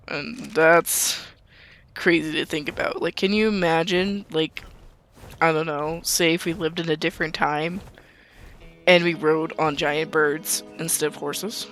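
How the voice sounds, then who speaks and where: Young woman gaming host, calm soothing ramble, casual, background music under the voice. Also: low voice. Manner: calm, soothing, rambling talk with light laughs. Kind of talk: casual gameplay commentary.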